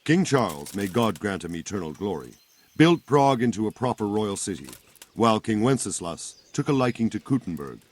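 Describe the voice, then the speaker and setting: A man's voice: on the low side, sing-song, a little fast. An actor playing a seasoned, plain-spoken soldier captain in a video game, patiently explaining things to a younger man. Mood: grave, instructive. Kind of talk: video game cutscene acting.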